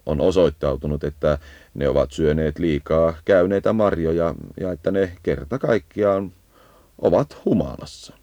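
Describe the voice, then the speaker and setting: A man reading scripted educational nature narration in a measured, explanatory way, as a guide to birds. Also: deep voice, warm tone, dry recording, dull audio.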